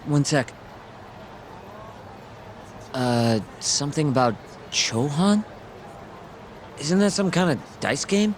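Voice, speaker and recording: Breezy sidekick over street noise. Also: male voice, a little high, animated, takes it slowly, pauses often. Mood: casual, alert. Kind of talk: video game cutscene acting.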